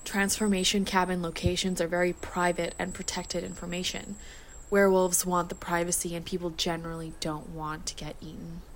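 A woman's voice: on the low side, little pitch movement, fairly quick, a little hiss or hum, close to an everyday voice. An actress playing a terse, short-tempered and protective werewolf woman in a supernatural audio drama. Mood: tense, brooding.

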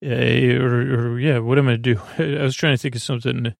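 A man with a creaky voice hosting a bedtime podcast in a soothing, meandering monologue that halts and loses its thread. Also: medium pitch, fairly even intonation, dry recording, clean studio sound. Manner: meandering, halting, losing his thread; soothing, lulling. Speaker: podcast host.

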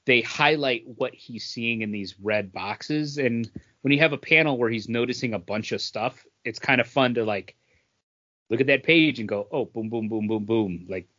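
A man talks through a review in a casual, conversational way as a podcast co-host. His delivery is halting, stopping to find words. His mid-pitched voice comes through a somewhat muffled, lo-fi recording. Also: fairly bright tone.

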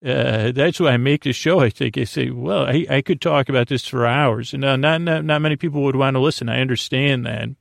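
Gravelly, meandering man hosting a bedtime podcast. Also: mid-range voice, dry recording, studio quality. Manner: hesitant, meandering, halting with repeated false starts; whimsical, absurd musing. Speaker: podcast host. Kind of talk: rambling improvised bedtime podcast monologue.

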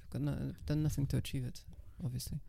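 A middle-aged woman guest with a naturally low, calm and level voice, speaking in a measured, self-assured way. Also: vocal fry. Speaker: guest, professional dominatrix. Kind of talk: casual interview talk.

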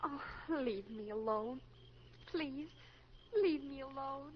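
An actress playing an impassioned, lovestruck college-age daughter with dramatic, emphatic delivery in a 1940s radio drama. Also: female voice, sits high, slow. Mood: hurt, impassioned. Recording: muffled, noisy 1940s radio recording.

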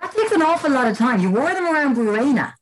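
A woman co-hosting a casual comedic chat, telling stories in an animated, teasing way. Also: sits high, fast, slight background noise, poor audio. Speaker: podcast co-host.

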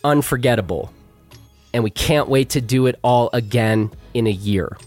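A middle-aged man hosting a podcast with a hoarse, worn voice, talking in a chatty, self-deprecating way with fillers. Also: medium pitch, moderate pace, darkish tone, faint music behind. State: hoarse, losing his voice. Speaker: podcast host. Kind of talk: casual podcast intro and live chat.

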